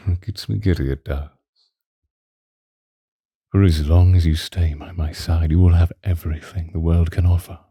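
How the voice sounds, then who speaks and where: A man playing a possessive, doting ancient vampire lover in a breathy, intimate ASMR roleplay, close to the microphone. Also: steady tone, dry recording, heavily acted. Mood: devoted, giddy. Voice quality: very deep, dark, husky, breathy, often whispering. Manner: slow, intimate, old-fashioned romantic wording. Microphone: close microphone, dry.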